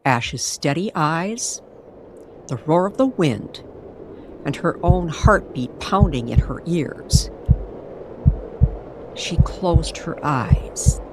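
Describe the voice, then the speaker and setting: A woman reading a dramatic scripted paranormal story with suspenseful pauses and careful, measured phrasing. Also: low-pitched, lively intonation, darkish tone, slight background noise, rough recording. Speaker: storyteller narrator.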